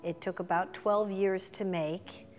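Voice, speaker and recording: A woman's voice: medium pitch, fairly even intonation, unhurried, a little mellow, long reverb tail. A middle-aged woman speaking in a documentary interview as a museum chairperson, recorded indoors. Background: instrumental music.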